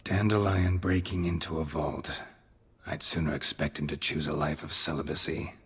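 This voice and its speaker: Stoic male monster hunter: deep, very raspy, deadpan, dry wit, fantasy game. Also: moderate pace, full character voice. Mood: dry, sardonic. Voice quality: gravelly, husky. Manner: deadpan, sardonic.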